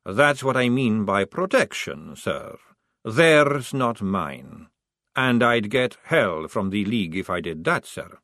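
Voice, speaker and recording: A man's voice: lowish voice, sing-song, takes it slowly, fairly bright tone, no room sound, studio quality, full character voice. Man doing a patient, polite vampire recruit.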